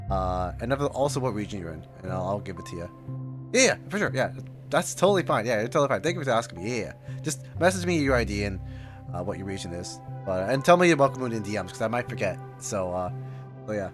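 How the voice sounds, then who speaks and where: A man chatting casually and excitably as he streams his reaction to a game. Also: mid-range voice. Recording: faint game music behind voice. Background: game music.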